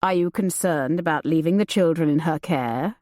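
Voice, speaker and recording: A woman doing a curt, guarded young gentleman. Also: deep voice, moderate pace, no room sound, close to an everyday voice. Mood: dry. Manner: curt, dry.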